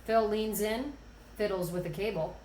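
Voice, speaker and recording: A woman's voice: mid-range voice, normal speed, darkish tone, slight background noise. A woman reading scene directions as scripted narration at a screenplay table read. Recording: roomy echo.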